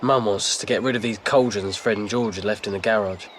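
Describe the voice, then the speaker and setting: A man's voice: fairly low pitch, fairly even intonation, fast talker, slight background noise, lightly acted. An actor playing a cheeky, grumbling teenage boy wizard with a British accent in a video game. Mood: urgent, grumbling. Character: teenage boy wizard, grumbling, joking, plain-spoken best friend. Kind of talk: video game cutscene acting.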